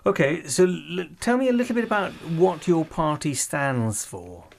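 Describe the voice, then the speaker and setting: A man's voice: fairly high pitch, lively intonation, moderate pace, creaky. A British man presenting on local radio, conducting a political interview in a conversational, probing way.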